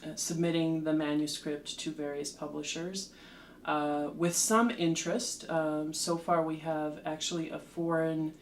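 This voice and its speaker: Woman, unhurried delivery, mid-pitched, faint hum behind, thoughtful interview guest. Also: level tone. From Slovakia. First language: Slovak. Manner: measured, with frequent ums and restarts. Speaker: translator and lecturer, guest. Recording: roomy echo with faint background hum.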